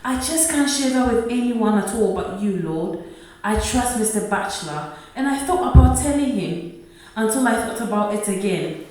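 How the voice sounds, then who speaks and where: In a very echoey room, a devout wife and mother speaks in a high voice played by an actress. She is earnest and emotional, pleading yet firm, in radio drama style. The microphone sounds distant. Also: lively intonation, normal speed, a little mellow, close to an everyday voice. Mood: fervent praying. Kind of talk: radio play acting.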